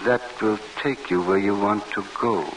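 An actor playing a courteous, sly peasant farmer, speaking unhurriedly in an old-time radio drama. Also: male voice, fairly low pitch, moderate pace, a bit bright, natural voice, low-quality recording. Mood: affable, sly. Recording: hissy vintage radio broadcast.

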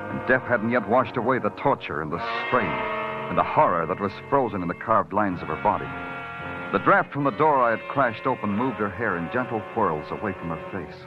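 A man's voice: lowish voice, animated, brisk, close to an everyday voice. An actor playing a terse, hard-boiled male police detective who narrates in 1940s radio drama style. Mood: grim. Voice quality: brooding, clipped delivery. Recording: dull old radio recording with music.

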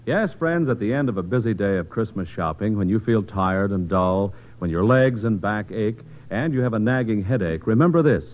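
A man's voice: on the low side, animated, normal speed, few pauses. An American man announcing an old radio commercial in a polished, persuasive salesman's manner. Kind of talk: vintage radio ad read. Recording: narrow, lo-fi old radio recording.

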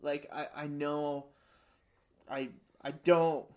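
Middle-aged guy, high and light voice, swaps loose, laughing anecdotes as a guest. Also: takes it slowly. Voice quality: high-pitched voice for his size. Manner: animated storyteller, acts out quotes with laughs. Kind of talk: casual storytelling chat.

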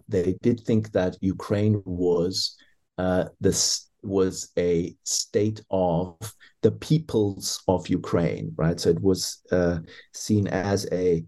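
A man, an author and historian, answering interview questions in a considered, hesitant way with many pauses and restarts. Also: low voice, mellow.

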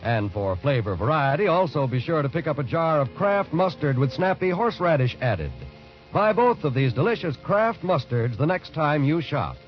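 Animated American radio ad man, higher voice. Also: moderate pace, music in the background. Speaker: commercial announcer. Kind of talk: 1940s radio advertising. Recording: muffled 1940s radio recording.

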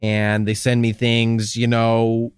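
Dry, smooth male co-host delivering deadpan improv bits for a comedy podcast, close and dead-sounding. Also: medium pitch, slow, no echo. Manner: deadpan, riffing absurd confessions.

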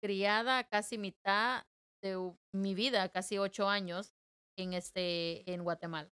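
A woman from El Salvador telling stories in a relaxed podcast chat. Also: medium pitch, measured pace, smooth. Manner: storytelling, talkative. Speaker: guest. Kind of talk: casual chat.

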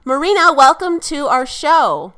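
A woman's voice: high-pitched, big pitch swings, measured pace, sharp tone. A woman co-hosting a podcast, introducing the episode and her guest in a bubbly, upbeat way and laughing easily. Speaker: podcast co-host.

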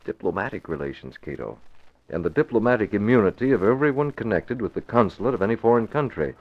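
Authoritative publisher, lowish voice, measured, decisive, 1940s radio drama, muffled recording. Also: male voice, lively intonation. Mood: grave, resolute. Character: composed, authoritative newspaper publisher, secretly a crimefighter.

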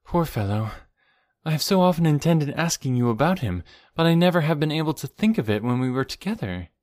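A man voicing a concerned young woman in an audiobook, gentle and earnest as she explains things to a friend. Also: a little high, big pitch swings, a little fast, no room sound, pitched above the performer's own voice. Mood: chatty, curious. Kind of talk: audiobook character voice.

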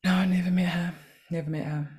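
A woman's voice: lowish voice, flat delivery, quick pace, darkish tone. A woman from New Zealand, a comedian and podcast guest, talking in a chatty, self-deprecating way and laughing often. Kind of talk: casual podcast interview.

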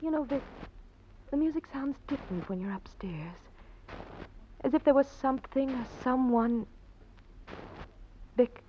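An actress playing an anxious, suspicious young wife in an old radio melodrama, earnest and insistent. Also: female voice, sits high, unhurried, pauses often. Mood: tender, wistful. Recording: dull, hissy old radio recording.

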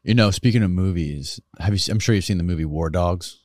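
Very low-voiced curious podcast host. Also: male voice, fairly quick, a little mellow, dry recording, studio quality. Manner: curious, thoughtful questioner. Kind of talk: casual podcast conversation.

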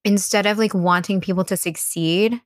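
A woman's voice: mid-range voice, fairly even intonation, moderate pace, no echo, clean studio sound. An American woman speaking as a mental coach, giving earnest, encouraging advice in a conversational style. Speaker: mental coach, podcast guest.

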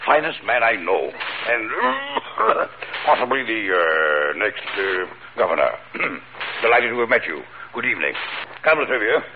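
A man playing a dignified, pompous state governor with stately, halting formality in an old radio comedy. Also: lowish voice, wildly swooping pitch, full character voice. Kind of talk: 1940s radio comedy acting. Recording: tinny noisy old radio broadcast.

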